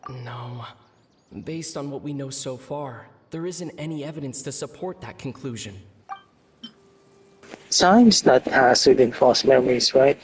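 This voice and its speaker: A man voicing a thoughtful, earnest young traveler hero in a video game, reasoning aloud in a measured way. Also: mid-range voice, slight background noise, natural voice. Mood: pensive.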